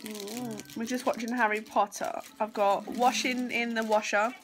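A woman's voice: fairly high pitch, little pitch movement, measured pace. A young woman with a sore throat and congested nose, chatting casually to a phone camera at home, rambling with plenty of ums. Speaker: vlogger, mother. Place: small house, sofa, kitchen and garden. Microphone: phone camera at arm's length. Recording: noisy home phone recording.

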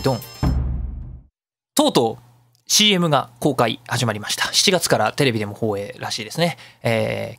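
Male radio host, quick and chatty, lively swinging intonation, rambling explanations. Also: medium pitch. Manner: chatty, digressive, explains at length with self-mocking asides. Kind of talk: casual solo radio talk.

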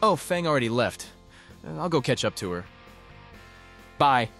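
A man's voice: mid-range voice, sing-song, a little slow, a bit bright, faint music behind. A man playing an awkward, wry teenage boy in a visual novel, both narrating and speaking in dialogue. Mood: flustered.

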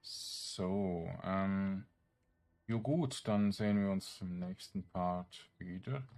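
Guy doing casual lets play, really low voice, slow n hesitant, kinda mumbles to himself. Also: a little mellow. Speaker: gaming streamer narrating his own play. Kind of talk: casual let's play commentary.

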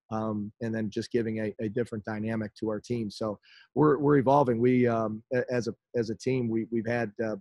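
Chicago-area American head coach, man in his forties, low monotone, halting, dry webcam sound. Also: no room sound. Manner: halting, many restarts and fillers. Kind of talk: postgame press conference. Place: indoors in front of a team backdrop. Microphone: video call webcam.

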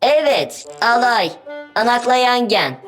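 A woman's voice: on the high side, big pitch swings, slow pace. A woman voicing a cheerful, helpful young robot companion in a children's cartoon, chirpy, reassuring and a little teasing. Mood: instructive. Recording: faint music behind the voice. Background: faint cartoon music.

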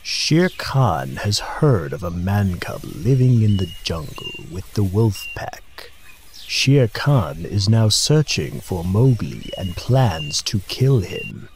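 Man playing slow, grave jungle beast. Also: fairly low pitch, very animated, noisy background. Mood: grave warning. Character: grave, protective adult male jungle animal. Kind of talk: scripted children's story dialogue.

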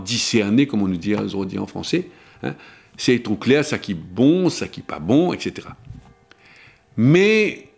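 An older man giving a Lenten sermon to camera from a small studio, slowing down to stress his points and shifting his pitch often. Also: creaky, darkish tone. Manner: shifts between spoken pitches, slowing for emphasis. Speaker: preacher. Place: small studio before a blue backdrop, seated at a table. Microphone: table microphone on a stand.

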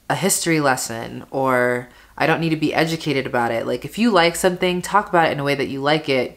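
Low-voiced young woman chatting over makeup. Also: a bit bright. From family from Panama, raised in America. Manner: rambling, lots of "like" fillers. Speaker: beauty vlogger. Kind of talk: casual get-ready-with-me chat while doing makeup. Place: bedroom at home, indoors.

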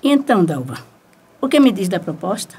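An actress playing a sharp-tongued, scheming small-town wife in a radio soap opera, with a Northeastern Brazilian accent and colloquial delivery. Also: female voice, medium pitch, normal speed, over loud music, lightly acted. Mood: persuasive. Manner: colloquial, animated, exclamatory.

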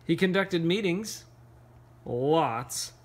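A man reads a children's picture book aloud with a very animated delivery. He takes his time, playfully breaking off to ask questions and make casual asides to young listeners. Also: fairly high pitch, drawn-out words. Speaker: read-aloud storyteller.

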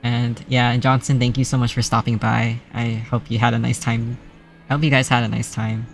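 Male streamer, mid pitch, gravelly fry, flat and soft-spoken, faint game music underneath. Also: fairly quick. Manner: soft-spoken, laughs often, self-described monotonous voice. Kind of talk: casual stream sign-off chat.

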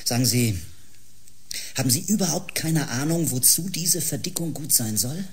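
An actor playing a courteous, placating professor who leads a space project, in a science-fiction radio drama. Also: male voice, on the high side, animated, slightly rough. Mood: concerned. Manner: polite, measured, placating.

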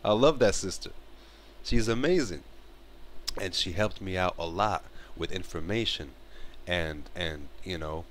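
Man, 30s, from Morocco; earnest home-vlog delivery on a headset, fairly low pitch, halting restarts. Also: a bit bright. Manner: earnest, repeats and restarts phrases, gestures while talking. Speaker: vlogger. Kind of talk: casual spoken commentary to camera. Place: bedroom, small indoor room. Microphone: headset mic.

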